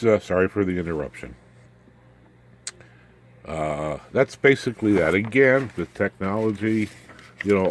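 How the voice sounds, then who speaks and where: A man giving an informal, unscripted electronics demonstration, haltingly, muttering asides as he works with his hands. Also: low voice, slight background noise. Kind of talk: informal unscripted technical show-and-tell.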